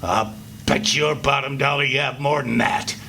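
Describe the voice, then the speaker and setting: A man's voice: medium pitch, steady tone, creaky, sharp tone. A man acting a mean, drunken, greedy father in a live radio play, taunting and domineering. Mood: angry, demanding. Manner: taunting, domineering, drawn-out sneering. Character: mean, drunken, greedy backwoods father bullying his son. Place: live performance before an audience. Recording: noisy live stage recording.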